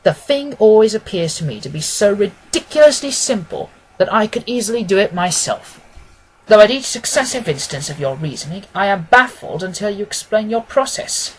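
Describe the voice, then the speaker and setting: A man voicing a mild, puzzled gentleman doctor in an audiobook, earnest and formal as a loyal companion. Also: sits high, big pitch swings, moderate pace, a little hiss or hum, low-quality recording. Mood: baffled. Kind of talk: dramatised audiobook reading.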